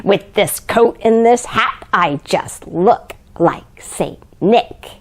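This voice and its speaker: A woman's voice: mid-range voice, expressive, slow. A woman voicing a sour, scheming old male Grinch from a storybook, with a snarling, self-satisfied manner. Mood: sly, gleeful. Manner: snarling, growling, chuckling to himself.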